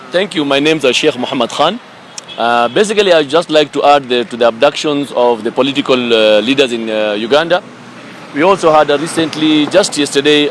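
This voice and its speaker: A man's voice: mid-range voice, a bit bright, a little hiss or hum. A man giving a hesitant statement full of questioning appeals. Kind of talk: street statement.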